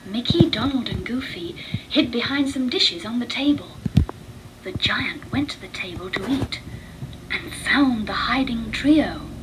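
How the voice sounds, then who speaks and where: Female storybook narrator, unhurried and expressive, mid pitch, audible background noise. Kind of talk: read-along storybook narration.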